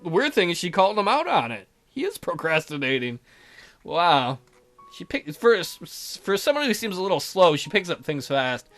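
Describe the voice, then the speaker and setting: A man's voice: high-pitched, sing-song, normal speed, fairly bright tone, faint music behind. A young man commentating on a visual novel playthrough, with crude, mocking, exclamatory asides. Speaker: gaming video host. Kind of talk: let's play commentary, reading a visual novel aloud.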